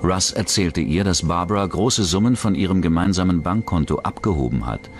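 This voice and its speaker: A man's voice: low-pitched, brisk. A man reading scripted narration for a true-crime documentary as its narrator. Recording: dramatic music bed under the voice. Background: background music.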